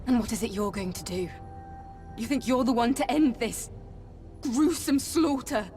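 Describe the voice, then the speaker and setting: A woman's voice: on the high side, animated, normal speed, darkish tone, far from the mic, soft background music, close to an everyday voice. An actress playing a guarded young female warrior, challenging and skeptical, in a video game cutscene.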